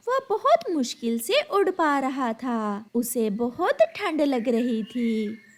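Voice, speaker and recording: A woman giving scripted narration for a children's cartoon story. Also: fairly high pitch.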